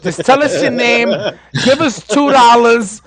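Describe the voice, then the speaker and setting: High-voiced rowdy joking man. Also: unhurried, crowd noise. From Puerto Rico. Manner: rowdy, joking, swears a lot. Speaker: podcast co-host. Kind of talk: casual profane banter.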